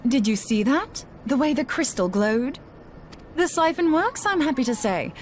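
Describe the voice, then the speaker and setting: A woman's voice: high voice, lively intonation, moderate pace. An actress playing a spirited young woman scholar in a video game, with an informal, wry, plain-spoken manner. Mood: eager, determined. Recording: clear background noise.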